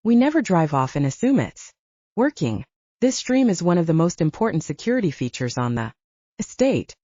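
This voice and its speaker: A woman's voice: fairly low pitch, very animated, moderate pace, dark tone, no echo, lo-fi sound. Woman reading as a protective, commanding werewolf man. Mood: reassuring, matter-of-fact. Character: protective, commanding adult male werewolf, older brother.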